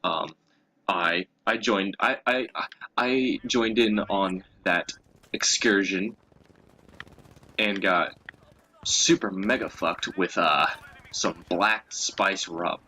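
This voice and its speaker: A man's voice: low-pitched, takes it slowly, pauses often, sharp tone, rough recording. A young man chatting casually and telling stories as a co-host, in a crude, profanity-laden way. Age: young adult. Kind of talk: casual chat, storytelling.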